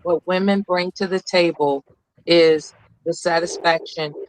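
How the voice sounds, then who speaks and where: A woman with a commanding voice, speaking as an earnest panelist in a deliberate, halting way. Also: on the low side, steady tone, slight background noise, low-quality recording. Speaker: call-in panelist. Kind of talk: opinionated panel discussion.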